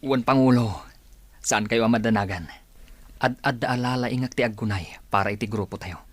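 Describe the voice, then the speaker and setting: A man's voice: medium pitch, normal speed, natural voice. A man with an Ilocano accent playing a loyal, eager rebel groom-to-be in a radio drama, respectful and grateful.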